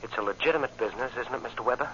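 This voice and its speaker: A man's voice: medium pitch, bright, forceful tone, natural voice. Actor as an earnest, tense young farm boy. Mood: anxious, pleading. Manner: polite, halting, pleading. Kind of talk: old-time radio drama acting. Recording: dull, noisy old radio recording.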